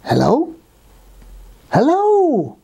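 A woman's voice: fairly high pitch, wildly swooping pitch, a little slow, breathy edge, pitched above the performer's own voice, full character voice. A woman voicing a small, friendly male bubble wand who has lost his way, calling out eagerly in a children's story. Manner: crying out eagerly, loudly.

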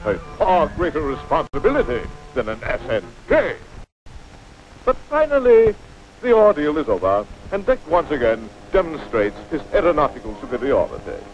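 An actor playing a pompous mock-heroic narrator of a 1940s cartoon, florid and theatrical. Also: male voice, on the high side. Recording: muffled 1940s film soundtrack, music behind.

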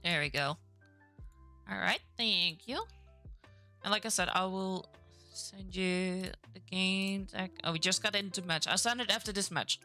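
Dutch lady chatting live while she plays, game music loud behind her. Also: mid-range voice, unhurried. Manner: chatty, reacts aloud to the match. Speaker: game streamer. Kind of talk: casual live-stream chat while gaming.

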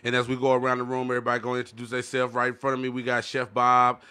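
A man's voice: mid-range voice, monotone, rapid-fire, sharp tone. A man hosting a casual podcast with slangy, hype-man banter full of repeated catchphrases, close on a studio mic. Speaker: podcast host. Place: small indoor podcast studio. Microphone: close studio microphone on boom arm.

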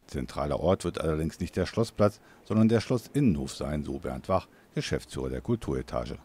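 Male news voice-over, very low and dark, scripted delivery. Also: expressive, a little fast, a little hiss or hum. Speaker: news reporter narrator.

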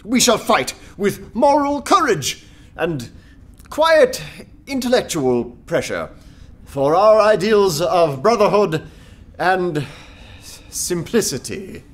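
A radio-play anarchist making a speech to his comrades. His voice sits fairly high and moves up and down a lot, earnest and uneasy as he pleads how meek he is. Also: sing-song, takes it slowly, heavily acted. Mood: uneasy, fervent. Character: anarchist poet pleading meekness in a nervous election speech.

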